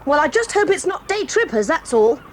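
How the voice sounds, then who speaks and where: An actress voicing a proud, quick-tempered tomboy girl in an audio drama, talking in a brisk, bossy and eager way. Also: sits high, sing-song, a little hiss or hum. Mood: excited, lively. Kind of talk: 1980s audio drama acting.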